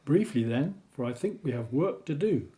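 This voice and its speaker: A man's voice: mid-range voice, expressive, moderate pace, warm tone, close to an everyday voice. A man acting a laid-back hippie time traveller in a radio play.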